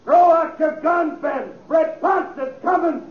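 Panicked cowboy yelling warnings, super high strained pitch, echoey muffled old radio sound. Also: male voice, slight background noise. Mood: frantic, shouting. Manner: drawn-out, urgent calling out. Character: frantic Western townsperson shouting a warning from afar. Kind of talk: 1950s radio western acting.

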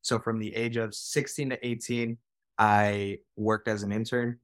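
This is a young man in his twenties with a somewhat low voice. He tells his story as a podcast guest, quick and casual, and he keeps dropping in "like" and "right?". The recording is dry and close. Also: flat delivery, dry recording. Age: 20s. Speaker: podcast guest, real estate director. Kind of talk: conversational storytelling.

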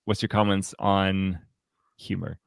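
Low-voiced man chatting in a close, dry recording. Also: level tone, measured pace, vocal fry, smooth, dry recording. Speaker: podcast co-host. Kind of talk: casual book discussion.

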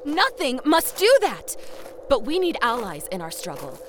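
A woman playing an earnest, sharp-tongued young eco-warrior in a comedic audio drama, with a dryly scolding manner. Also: fairly high pitch, wildly swooping pitch, measured pace, a little hiss or hum. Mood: fervent.

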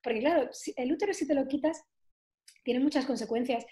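A woman's voice: sits high, brisk, warm tone. A woman, a nutrition therapist telling her own story as an interview guest, chatty and full of fillers and tag questions. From southern Spain. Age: 40s.

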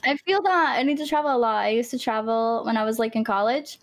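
Guest, woman in her early twenties, from Greece, native Greek, higher voice, chatty and full of "like.". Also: quick pace. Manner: chatty, laughing, heavy use of "like". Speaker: guest, gamer and streamer. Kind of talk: casual podcast chat.

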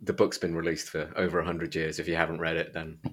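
A man with an East Midlands English accent and a very low voice hosts a book podcast. He talks in an informal, colloquial way, as in a casual chat. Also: flat delivery, fast talker, slight background noise. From Derbyshire, England. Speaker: podcast host.

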